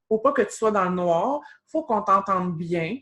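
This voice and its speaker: A woman's voice: mid-range voice, expressive, unhurried, a bit bright. A woman with a Québécois French accent, chatting casually as a guest and explaining advice, often dropping in "tu sais". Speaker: guest expert.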